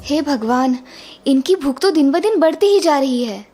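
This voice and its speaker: A woman's voice: high voice, lively intonation, normal speed, mellow. A woman playing a polite, dutiful young daughter-in-law in a cartoon story, speaking earnestly. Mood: worried. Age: young adult. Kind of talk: Hindi cartoon story acting.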